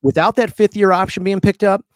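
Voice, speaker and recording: A man's voice: high-pitched, fairly even intonation, fairly quick, no echo. An American man co-hosting a sports podcast in casual banter, blunt, slangy and opinionated.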